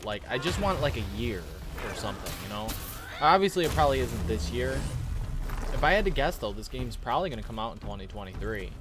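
A young man reacts casually to game footage. His voice sits at an average pitch, and he rambles in halting, slangy bursts. Game ambience is clearly audible behind him. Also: background noise. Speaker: gaming reaction YouTuber. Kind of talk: casual off-the-cuff reaction commentary.

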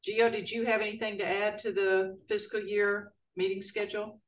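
A board chair running a city meeting in a folksy, relaxed way, with many filler words. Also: mid-range voice, moderate pace. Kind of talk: formal meeting procedure mixed with casual remarks. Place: city council chamber. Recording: distant mic in a meeting room.

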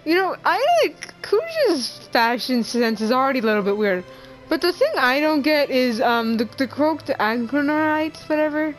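A young woman with a fairly high voice chats casually over a game. She rambles in an excitable, giggly way, with faint game music behind her. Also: moderate pace, low-quality recording. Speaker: gamer. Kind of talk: casual chat over gameplay.

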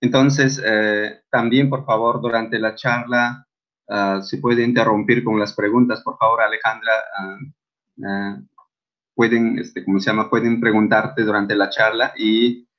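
A man's voice: on the low side, flat delivery, unhurried. A man hosting an online lecture series, introducing a speaker hesitantly with many fillers. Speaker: session host. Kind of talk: formal introduction. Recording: dull lo-fi video call audio.

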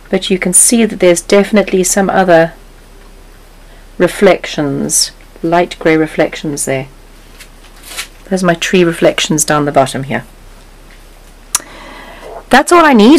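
Woman, painting instructor, calm step-by-step explanation, mid pitch; talking while she paints. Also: rough recording. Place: studio table, overhead camera on canvas.

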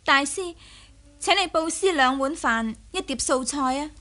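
A woman's voice: high-pitched, animated, sharp tone, heavily acted. An actress playing a shrewd, bold young swordswoman, assertive and protective, in Hong Kong Cantonese radio drama. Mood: persuasive. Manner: assertive, quick-witted. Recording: faint background noise, old radio drama.

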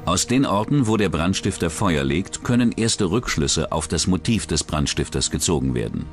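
A man's voice: sits low, expressive, normal speed. A man reading scripted true-crime documentary narration as the narrator. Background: background music.

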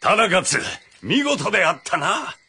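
A man's voice: sits high, big pitch swings, takes it slowly, sharp tone, breathy edge, heavily acted. A man voicing a shrewd, commanding samurai lord in a game, with authoritative, old-fashioned speech. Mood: measured, authoritative. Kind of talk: dramatic Japanese game voice acting.

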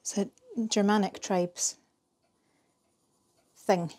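A woman in her thirties from Scotland, casually explaining a recipe in her home kitchen with a chatty, relaxed manner and some fillers. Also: mid-range voice, extremely slow. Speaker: vlogger. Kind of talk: casual narration while cooking.

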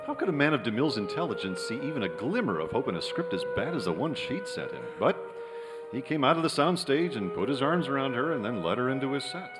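A man's voice: mid-range voice, very animated, fast, over music, natural voice. A man playing a wry, cynical screenwriter in an old-time radio drama. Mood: wry, narrating. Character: wry, cynical male screenwriter narrating his own story. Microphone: vintage-style stand microphone on theatre stage. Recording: reverberant theatre stage recording.

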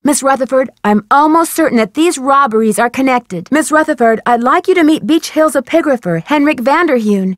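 A woman's voice: high-pitched, lively intonation, a little fast, hardly any pauses, dry recording, studio quality. A woman playing a polite, inquisitive, earnest young female amateur detective in scripted adventure game dialogue, speaking articulately. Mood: inquisitive, courteous. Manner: polite, articulate, eager questioning.

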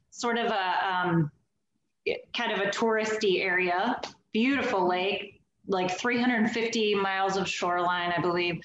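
A woman's voice: fairly high pitch, fairly bright tone, very echoey, slight background noise, low-quality recording. A woman in her forties, originally from Alabama, chatting upbeat as a guest over a video call from her home loft. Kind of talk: casual video call interview.

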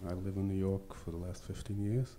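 Deep mellow man from Belgium. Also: steady tone, a little fast, slight background noise. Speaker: student. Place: library classroom.

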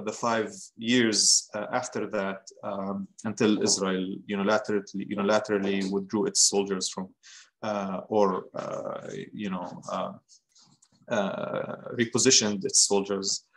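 A man in his thirties from Gaza, a guest on an online panel, speaking in a measured way with frequent fillers over a webcam. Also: on the low side, poor audio. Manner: measured, many fillers like "uh" and "you know". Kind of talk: personal account on a video panel. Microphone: laptop webcam on a video call.

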